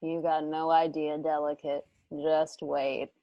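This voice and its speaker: A woman acts out a defiant, hot-tempered young female warrior in a radio drama. Her voice is a little low and the delivery slow, brash and taunting, recorded dry and close. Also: little pitch movement, dry recording, dull audio. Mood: confident.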